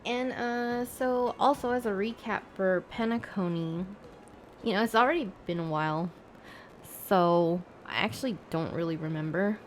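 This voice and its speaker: A woman's voice: mid-range voice, moderate pace, a little hiss or hum. A woman livestreamer chatting casually with her viewers, thinking aloud in a hesitant, rambling way. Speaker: live streamer.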